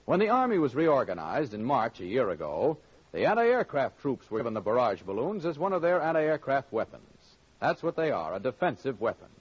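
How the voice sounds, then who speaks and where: A man's voice: medium pitch, wildly swooping pitch, brisk, breathy edge. A man working as a radio announcer, reading scripted copy in 1940s broadcast style. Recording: dull vintage radio recording.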